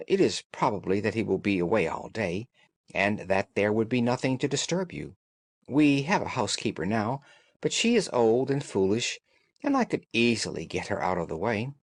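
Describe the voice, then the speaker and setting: A man voicing a distraught young gentlewoman in an audiobook, speaking earnestly and formally, with some guardedness. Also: on the low side, lively intonation, moderate pace, dry recording. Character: distraught, frightened young gentlewoman confiding her trouble.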